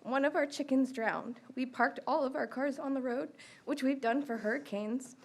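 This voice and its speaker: Woman, fairly high voice, gravelly fry, steady orderly account, county meeting public comment. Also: moderate pace. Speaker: resident giving public comment. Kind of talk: prepared personal testimony. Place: county commission meeting room.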